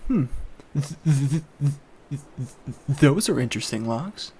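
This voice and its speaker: A man playing an affable, hesitant property buyer in an audio drama, speaking casually and haltingly. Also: mid-range voice, animated, dark tone, slightly rough, heavily acted. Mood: uneasy. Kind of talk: naturalistic audio drama dialogue.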